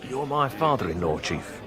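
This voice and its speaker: An actor playing an obliging, affable policeman who serves as a cafe patron, in a British radio drama. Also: medium pitch.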